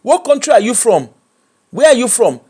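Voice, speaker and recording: A man with a Nigerian accent giving opinionated commentary, animated and mocking, slipping into pidgin. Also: very high-pitched, moderate pace, breathy edge. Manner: animated, switches into pidgin and mimics people.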